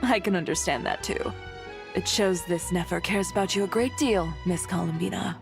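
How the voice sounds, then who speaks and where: A woman's voice: lowish voice, normal speed, gravelly, music in the background. A woman voicing a cool, self-assured, teasing fashion designer in a video game.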